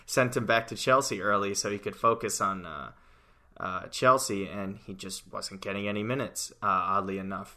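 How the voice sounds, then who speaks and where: A young man from the United States hosts a casual soccer podcast. His voice sits a little low but rings bright and sharp, and he talks with lively, conversational energy and plenty of fillers. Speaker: podcast producer and fill-in host.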